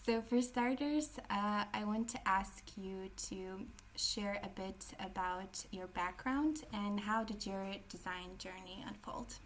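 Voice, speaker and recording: A woman's voice: mid-range voice, takes it slowly, few pauses, fairly bright tone. Woman podcast host with faint background hiss. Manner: scripted, with occasional fillers. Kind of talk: reading a guest introduction.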